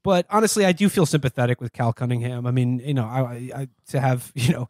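A man's voice: mid-range voice, fast, a little mellow, dry recording, clean studio sound. A man co-hosting a podcast, talking politics casually in a wry, discursive way. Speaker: podcast co-host. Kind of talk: casual comedic political chat.

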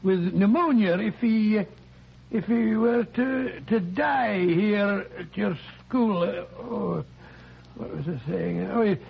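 An actor playing a stammering doctor who poses as a scheming Scotsman, with a gravelly voice and a put-on Scottish accent, in 1940s radio acting. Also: high-pitched, slow, a little hiss or hum, heavily acted. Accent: put-on Scottish fading. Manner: hesitant, stammering. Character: Doctor Watson disguised as a Scotsman. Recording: dull old radio broadcast.

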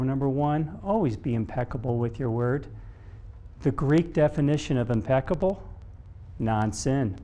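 A middle-aged man giving a motivational keynote talk from a stage, speaking in deliberate, emphatic phrases with pauses. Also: mid-range voice, dark tone. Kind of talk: motivational public talk, storytelling. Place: stage in a conference room before a seated audience. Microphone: lapel mic.

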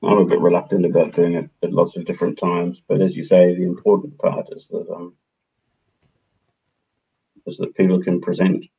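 Deep, husky man hosting a call. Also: normal speed, pauses often. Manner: relaxed, chatty. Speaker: meeting host. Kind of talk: casual video call discussion. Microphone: headset on video call. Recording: dull lo-fi call audio.

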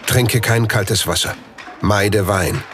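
A man's voice: fairly low pitch, slow. A man playing a solemn ancient figure who recites stern words as dramatised quotations in a documentary. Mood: stern, commanding. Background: background music.

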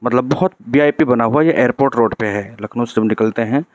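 A man's voice: medium pitch, fairly quick, no room sound. Chatty man narrating a road trip. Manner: chatty, repeats "theek hai" as a tag. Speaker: travel vlogger. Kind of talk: casual vlog narration.

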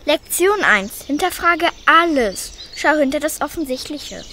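A woman's voice: sits high, animated, a little slow, pushed and bright, slight background noise, heavily acted. A woman voicing a pompous know-it-all doctor who wants to be a detective in a children's radio play. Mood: lecturing. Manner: pompous, lecturing.

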